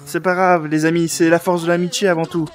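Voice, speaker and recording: A man's voice: fairly high pitch, flat delivery, brisk. A man improvising playful roleplay banter as a streamer, theatrical and joking, prone to sudden outbursts.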